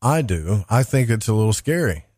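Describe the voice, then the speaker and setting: A man hosting a talk podcast, chatting in a rambling, monotone way. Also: on the low side, normal speed, dry recording, studio quality. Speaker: podcast host. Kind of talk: casual interview chat.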